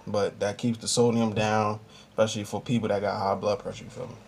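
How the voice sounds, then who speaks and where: A man's voice: fairly low pitch, little pitch movement, fast, darkish tone. A young American man chatting casually as a home cooking vlogger, with a slangy, offhand way of talking. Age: young adult. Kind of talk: casual chat while demonstrating cooking. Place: small home kitchen, indoors. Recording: clearly noisy amateur camera recording.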